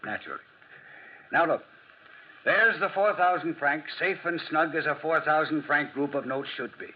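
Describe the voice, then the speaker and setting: A man playing a clever, self-assured French detective in a 1940s radio drama, with a theatrical, drily ironic, deliberate delivery. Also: a little high, natural voice. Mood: self-assured, emphatic. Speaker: actor playing detective and narrator. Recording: muffled 1940s radio recording.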